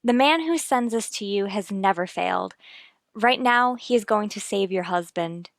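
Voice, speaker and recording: A woman's voice: medium pitch, animated, normal speed, dry recording, lightly acted. A woman playing a composed, formal figure of authority in an old-time radio drama. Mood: reassuring.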